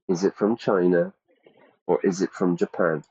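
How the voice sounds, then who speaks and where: A man's voice: sits low, fairly even intonation, normal speed, darkish tone, husky, highs cut off. A man teaching English to learners, reading out quiz questions and repeating the answer options. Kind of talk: ESL quiz lesson.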